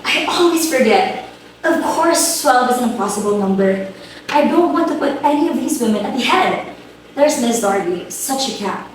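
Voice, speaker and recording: A woman's voice: fairly high pitch, expressive, moderate pace, lightly acted. A woman playing a fussy, flustered, status-hungry middle-aged society hostess in an amateur play reading, theatrical and bossy. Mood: exasperated. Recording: very echoey room, distant mic, hiss.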